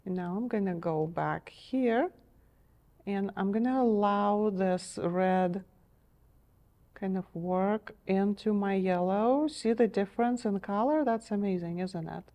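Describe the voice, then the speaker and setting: A middle-aged woman teaching a step-by-step painting lesson in a TV studio, gentle and encouraging, her voice a little strained as she is losing it. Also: mid-range voice, a little slow. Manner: gentle, encouraging, checks in with "okay?". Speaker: painting instructor.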